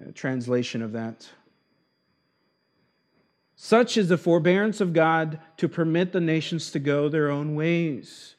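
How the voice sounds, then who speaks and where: A man with Argentine roots preaching an expository sermon, slowing down to stress key words. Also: high-pitched. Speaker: pastor.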